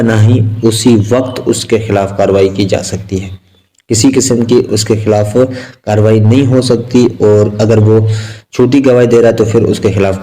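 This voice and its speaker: A man with a Pakistani accent lecturing on exam material, explaining step by step in a halting, repetitive way with simple examples. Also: fairly low pitch, dark tone, poor audio. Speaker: law lecturer.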